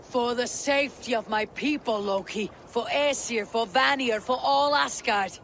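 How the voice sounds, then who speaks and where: An actor playing a stern, cunning warrior god in a fantasy video game, speaking in a formal, authoritative manner. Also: male voice, extremely high pitch, a little slow, gravelly, a bit bright, slight background noise, exaggerated voice. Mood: commanding. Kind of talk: dramatic fantasy game acting.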